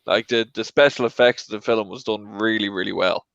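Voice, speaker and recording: A man's voice: fairly low pitch, steady tone, a little fast, vocal fry, fairly bright tone, clean tone, no room sound, lo-fi sound. A man talking casually as a panelist on a movie debate show. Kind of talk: casual chat.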